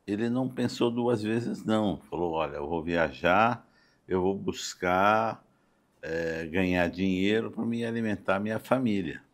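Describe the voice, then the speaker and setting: An older Brazilian man speaking as a guest in a conversational podcast interview, halting and often adding small check-in tags. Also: low-pitched. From Campo Grande, Brazil. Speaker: interview guest, doctor and city councillor. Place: podcast studio.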